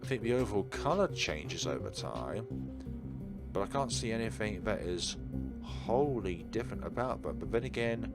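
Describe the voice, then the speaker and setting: A man playing a visual novel, reading its narration and dialogue aloud and musing casually as he goes. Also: fairly low pitch. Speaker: let's player. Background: game background music.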